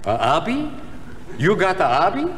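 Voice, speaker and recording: A man's voice: high voice, wildly swooping pitch, moderate pace, long reverb tail, heavy background noise. An actor playing an incredulous, complaining ordinary man on a 1960s comedy album.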